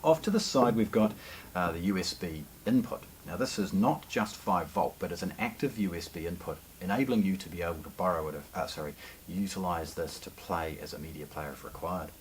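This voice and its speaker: A man giving an unscripted product walkthrough in a measured, explanatory, slightly formal way, as a shop presenter. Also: deep voice, slight background noise, low-quality recording. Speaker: shop presenter hosting product unboxing video.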